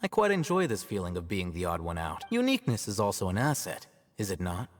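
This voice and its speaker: A man playing an aloof, self-assured young scholar in a video game, measured, articulate and slightly smug. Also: sits low, huge pitch swings, in a large hall, soft background music.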